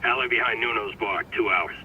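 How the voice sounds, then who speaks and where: A man's voice: fairly low pitch, a little fast, pushed and bright, slight background noise. An actor playing a shady male criminal in a video game, talking in a brash, streetwise way.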